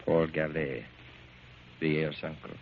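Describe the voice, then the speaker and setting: An actor playing a cool, grave man with a measured, insinuating delivery in 1940s radio drama style. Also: extremely low pitch, flat delivery, full character voice. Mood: coolly insinuating. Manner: measured, deliberate, coolly insinuating. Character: cool, grave man coldly revealing grim news. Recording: muffled, hissy 1940s radio recording.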